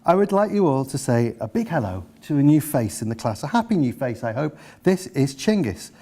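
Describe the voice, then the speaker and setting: A man's voice: medium pitch, very animated, a little fast, dark tone. A man reading aloud as a woman primary school teacher, polite but flustered and insistent. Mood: flustered politeness.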